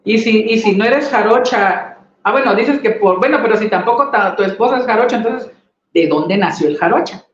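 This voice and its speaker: A man's voice: high voice, brisk. A middle-aged man hosting a casual video-call interview, playful and teasing. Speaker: host. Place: small room indoors. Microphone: webcam on a video call. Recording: dull, roomy webcam sound.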